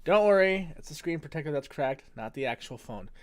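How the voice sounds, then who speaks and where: Chatty excitable young man vlogging at home. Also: fairly high pitch, fairly quick. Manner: chatty, excitable, rambling with restarts. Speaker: gaming vlogger. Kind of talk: casual vlog chat. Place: home room with laundry machines running next door. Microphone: phone mic.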